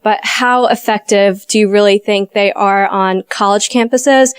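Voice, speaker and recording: A woman student putting a direct question to the panel. She speaks in a flat, even tone with a clear, smooth voice. The sound is dry and close to the mic. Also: mid-range voice, a little slow, no echo.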